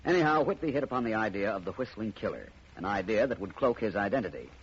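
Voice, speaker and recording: An actor playing a suave, cool-headed amateur detective, speaking in a smooth, assured manner in 1940s radio acting. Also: male voice, lowish voice, sing-song, quick pace. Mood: explaining. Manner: smooth, assured, explaining with relish. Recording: muffled old radio broadcast, faint hiss.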